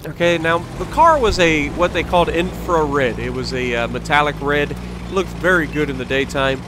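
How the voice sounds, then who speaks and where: A man's voice: fairly high pitch, expressive, moderate pace, noisy background. An American man telling a rambling personal story as he casually commentates over gameplay in a conversational way. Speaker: gaming video host.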